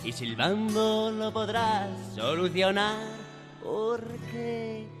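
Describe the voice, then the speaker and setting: A cheeky, ever-cheerful man half-sings his consolation with an accent from Spain. His voice is high and very slow, over clear musical accompaniment. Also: animated, over music, full character voice. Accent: Spanish, from Spain. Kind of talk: musical comedy, half-spoken song.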